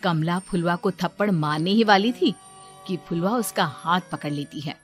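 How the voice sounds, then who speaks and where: A woman's voice: lowish voice, moderate pace. Woman narrating a story over music. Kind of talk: scripted Hindi story narration.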